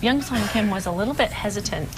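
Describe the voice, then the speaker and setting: A woman guest on a TV interview telling a story, warm and a little emotional. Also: medium pitch, expressive, quick pace, noisy background.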